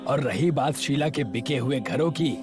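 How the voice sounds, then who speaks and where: A man's voice: mid-range voice, moderate pace, music in the background, close to an everyday voice. A man voicing an arrogant, menacing and greedy thug uncle in a horror story. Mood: scheming. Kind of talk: horror storybook character acting.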